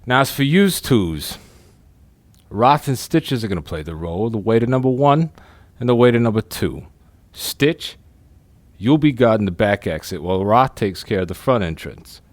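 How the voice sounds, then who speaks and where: An actor playing a brash, wisecracking crime boss with slangy, bossy street talk in an audio drama. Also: male voice, fairly low pitch, animated, moderate pace, dry recording, close to an everyday voice. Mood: bossy, brisk.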